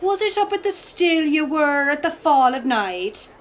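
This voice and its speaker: Slow, swooping, scornful young Irishwoman. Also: high voice, very animated, a little hiss or hum. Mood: teasing scorn. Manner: mocking, drawn-out delivery. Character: scornful, teasing young Irish village woman. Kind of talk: stage radio-play acting.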